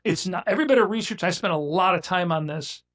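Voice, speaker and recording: A man's voice: sits high, fast, a bit bright. A man in his sixties, a business owner talking as a guest in a casual interview, blunt and emphatic, often restarting his sentences. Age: 60s.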